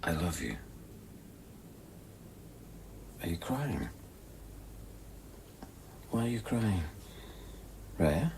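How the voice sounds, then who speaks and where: An actor playing a lovesick, anguished man in a radio play, intense and moving between murmurs and shouts. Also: deep voice, very animated, slow, gravelly, a little hiss or hum, exaggerated voice. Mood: intimate.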